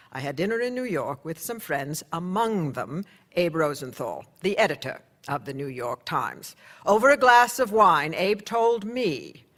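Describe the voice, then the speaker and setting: A man narrating in the first person as a newspaper insider, telling the story in a measured, conversational way for a radio drama. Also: on the high side, fairly bright tone.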